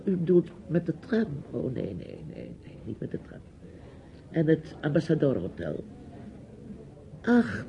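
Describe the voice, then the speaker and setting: An actor playing a chatty, nosy, bossy older man in a radio drama, with a theatrical, rambling delivery. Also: on the high side. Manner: theatrical, rambling, commanding, with hesitations. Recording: dull, hissy old radio play recording.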